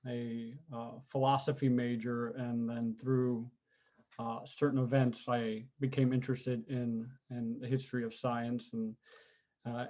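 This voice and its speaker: A man in his forties from California, speaking as an interview guest, telling his story in an unhurried, thoughtful way with many ums and restarts. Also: medium pitch, darkish tone, highs cut off. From United States, California. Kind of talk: conversational interview.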